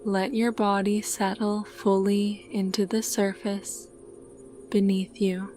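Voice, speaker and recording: A woman narrating a scripted guided sleep meditation in a calm, soothing, unhurried manner. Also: medium pitch, a little hiss or hum.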